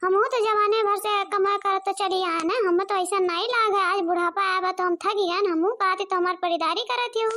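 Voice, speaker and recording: A woman's voice: very high voice, steady tone, moderate pace, few pauses, pushed and bright. A woman voicing a bossy, complaining elderly village mother-in-law in cartoon comedy, with an Awadhi accent. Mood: indignant. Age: older.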